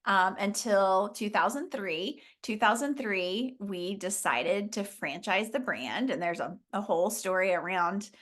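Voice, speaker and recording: A middle-aged woman from Oklahoma, a business founder chatting as a guest, telling her story with quick laughs. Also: mid-range voice, expressive, a bit bright. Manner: chatty, laughs often, upbeat. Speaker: franchise founder, interview guest. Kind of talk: casual storytelling.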